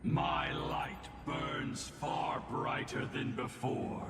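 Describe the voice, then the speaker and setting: An actor playing a solemn, imperious godlike being in a game cutscene, making grandiose proclamations. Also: male voice, on the low side, lively intonation, drawn-out words, far from the mic. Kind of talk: scripted game cutscene acting. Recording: echoey hall reverb, loud orchestral music behind.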